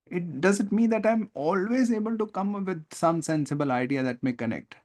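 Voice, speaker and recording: A man with an Indian accent teaching a live online lesson, stressing key words deliberately and checking in with questions. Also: high voice, darkish tone. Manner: deliberate, emphatic word-by-word stress, rhetorical questions. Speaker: teacher, test-prep coach. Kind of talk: live online lesson, explaining reading comprehension.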